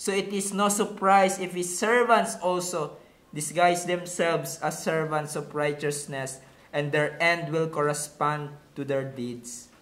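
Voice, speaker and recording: A Filipino man delivers a sermon in a high voice, taking his time over each phrase. He teaches point by point, asking rhetorical questions and stressing key words by repeating them. The room around him echoes noticeably. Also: steady tone, slow, a bit bright. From Philippines. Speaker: pastor. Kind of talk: sermon mixing Tagalog and English.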